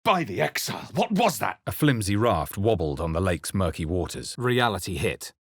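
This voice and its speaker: An actor playing a guarded adventurer hero in a video game, speaking and narrating in a husky, measured, brooding way. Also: male voice, low voice, sing-song, no echo, studio quality, exaggerated voice. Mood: startled, questioning. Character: male adventurer hero, deep-voiced, grave and guarded, player character. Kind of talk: dramatic video game voice lines and first-person narration.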